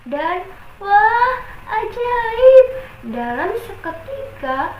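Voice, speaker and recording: A young woman student telling a folk tale in a dramatic, exclamatory way, with drawn-out cries of wonder. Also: very high-pitched, very animated, darkish tone, reverberant, a little hiss or hum, low-quality recording. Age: young adult. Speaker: student storyteller. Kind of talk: animated folk tale storytelling.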